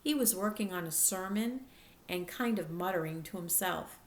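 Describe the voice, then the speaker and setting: A woman reading a children's chapter book aloud expressively, with careful, deliberate storytelling delivery. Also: fairly low pitch, animated, roomy sound.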